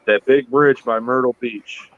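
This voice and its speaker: A man in his thirties reminiscing in a relaxed casual chat. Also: mid-range voice, unhurried, dull audio. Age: 30s.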